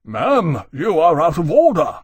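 A male narrator plays an icy official in a historical novel, raised in pitch and contemptuous. The delivery is clipped and formal, with a husky edge and a dry, close sound. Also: wildly swooping pitch, unhurried, dry recording, heavily acted. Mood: outraged.

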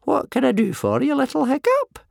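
A man voicing a gloomy, ancient village healer and soothsayer in a storybook, with a wheezy croak and a slow, muttering manner. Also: very high voice, huge pitch swings, no echo, clean studio sound, pitched above the performer's own voice, heavily acted. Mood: gloomy, ominous. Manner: slow, muttering, tut-tutting.

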